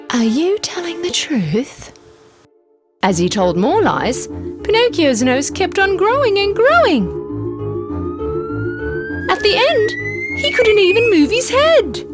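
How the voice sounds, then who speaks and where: Casting: female children's story narrator, hugely expressive pitch, medium register, music bed.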